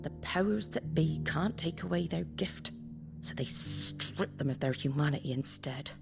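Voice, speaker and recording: Earthy english innkeeper lady from a fantasy game, lowish voice, outspoken but caring, music playing behind. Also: normal speed, gravelly. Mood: grave, resolute. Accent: English regional. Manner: plain-spoken, folksy. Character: Martha, earthy outspoken innkeeper woman, secretly compassionate rebel. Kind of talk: dramatic video game acting.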